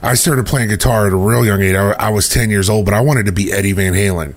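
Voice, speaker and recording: A man's voice: fairly low pitch, steady tone, fast talker. A man interviewing a musician in a casual, chatty way, with frequent fillers. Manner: chatty, many fillers like "you know" and "like".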